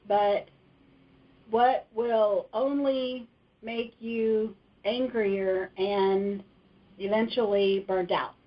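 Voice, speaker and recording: A woman taking part in a training webinar, slowly and hesitantly reading a quotation aloud. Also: a little high. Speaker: webinar participant. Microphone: computer mic on a webinar call. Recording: dull lo-fi web audio.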